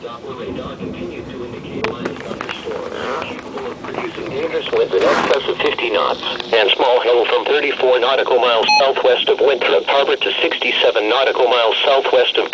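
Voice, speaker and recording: A man's synthetic, formal and impersonal voice reading a scripted weather warning bulletin on the radio. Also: mid-range voice. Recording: noisy, muffled weather radio broadcast.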